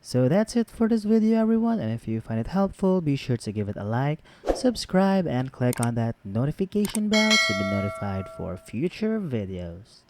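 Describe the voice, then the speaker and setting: A man's voice: mid-range voice, big pitch swings, normal speed, few pauses, noisy background. A man hosting a tutorial, giving casual step-by-step instructions as a close, dry voice-over. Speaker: tutorial host.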